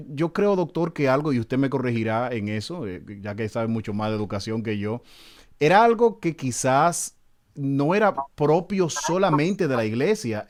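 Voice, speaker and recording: A man, a pastor hosting an interview, asking questions courteously and often restarting and repeating words. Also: mid-range voice. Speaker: pastor and host.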